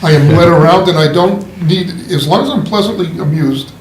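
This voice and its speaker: A man's voice: on the high side, fairly quick. A man giving a blunt, opinionated critique in a casual writing group discussion. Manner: blunt and opinionated, laughing at his own remarks. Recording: roomy room with faint noise.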